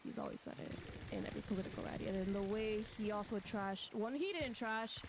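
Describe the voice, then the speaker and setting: Woman from Mexico, mid pitch, casual debate guest, defensive, noisy room. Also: fast talker. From mostly Mexico, born in Texas. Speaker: guest on a panel show. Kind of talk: casual debate, answering questions.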